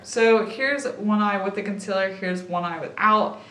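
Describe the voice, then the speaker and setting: Slightly raspy woman in an echoey room. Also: medium pitch, moderate pace, music in the background. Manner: chatty, thinks aloud while applying makeup. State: tired after a late night. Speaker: beauty vlogger. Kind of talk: casual makeup haul and try-on.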